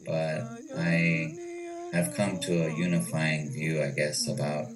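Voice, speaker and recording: A man with an American accent giving reflective, measured answers as an interview guest. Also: very deep voice, flat delivery. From United States. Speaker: interview guest, ayahuasca retreat owner. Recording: distant mic with clear music behind.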